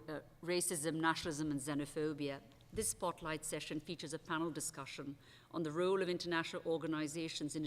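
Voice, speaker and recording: A woman chairing a conference panel from a table on a large stage, talking conversationally with frequent restarts. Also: low-pitched. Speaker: session chair. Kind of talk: panel moderation at an academic conference. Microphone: table microphone. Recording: long reverb of a large hall.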